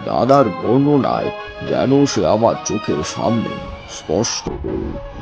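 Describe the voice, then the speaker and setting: Deep gravelly brooding man narrator. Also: wildly swooping pitch, unhurried, muffled recording, over music, heavily acted. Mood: brooding suspense. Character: brooding male listener recounting an eerie family tale. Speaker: narrator of an audio horror story. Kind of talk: dramatic first-person story narration.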